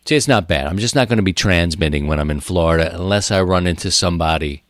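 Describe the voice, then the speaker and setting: A man in his thirties talking through his podcast in a loose, rambling way, circling back and repeating his points. His voice sits on the low side and comes across dry and close, with no room around it. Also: quick pace, no room sound. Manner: rambling, conversational, repeats phrases for emphasis. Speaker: podcast host. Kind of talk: casual solo podcast talk.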